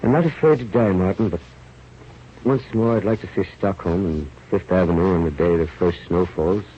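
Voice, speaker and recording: A man's voice: low-pitched, moderate pace, mellow, breathy edge. An actor in a 1930s radio drama playing a boisterous, big-hearted male adventurer and rat catcher, declaiming in bombastic broken English. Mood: weak, dying, peaceful. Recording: muffled, noisy old radio recording.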